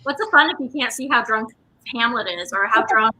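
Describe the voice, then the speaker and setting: A woman's voice: fairly high pitch, expressive, fairly quick, sharp tone, low-quality recording. A woman guest chatting casually and telling stories in an informal way. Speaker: guest, stage actor from a theater company. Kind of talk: casual anecdotal chat.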